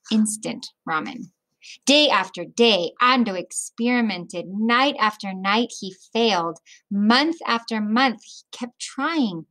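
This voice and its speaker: Middle aged lady teacher reading a kids book out loud, kinda high voice, really slow and careful. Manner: deliberate phrasing with explanatory asides to young listeners. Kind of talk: expressive children's read-aloud.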